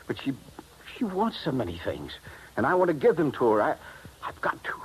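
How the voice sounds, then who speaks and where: An actor playing a desperate, lovesick swindler, halting and overwrought, in 1950s radio drama style. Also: male voice, medium pitch, huge pitch swings, a little hiss or hum, rough recording. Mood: anguished. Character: desperate, lovesick male swindler, intense and tormented. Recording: vintage 1950s radio recording.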